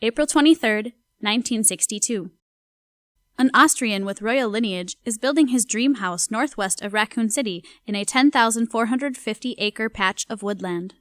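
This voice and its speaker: A woman's voice: a little high, normal speed, a bit bright, dry recording, studio quality. A woman narrating an audio drama, reading a newspaper article in a scripted style.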